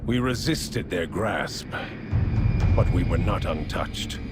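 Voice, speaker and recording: Grim war-weary leader. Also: male voice, low voice, lively intonation, over loud music. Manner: solemn and measured. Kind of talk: video game cutscene acting. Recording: loud orchestral game score behind.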